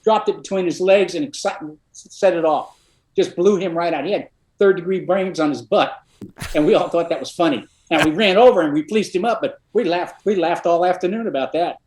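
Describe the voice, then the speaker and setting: Older male guest, retired officer, high-ish voice, dry deadpan anecdotes, echoey room. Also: fairly quick. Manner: dry, matter-of-fact storyteller with frequent uh fillers. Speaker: retired army colonel, guest. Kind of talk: casual interview storytelling.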